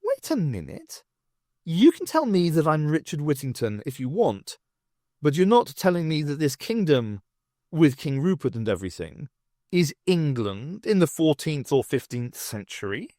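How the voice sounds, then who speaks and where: A man voicing a sharp, inquisitive woman adventurer who questions things emphatically. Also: fairly high pitch, sing-song, moderate pace, dry recording, studio quality. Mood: sceptical.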